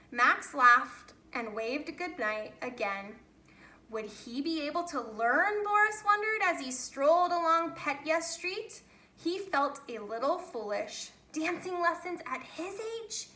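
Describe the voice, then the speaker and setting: A woman reads a children's story aloud with expression. Her voice is bright and her pace is slow and deliberate, in a roomy, echoey recording. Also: sits high.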